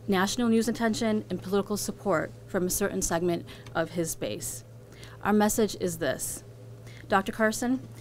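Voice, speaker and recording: A woman delivering a prepared statement as a spokesperson, in a formal, measured, rhetorical way. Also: fairly low pitch, a little hiss or hum. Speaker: advocacy group communications director.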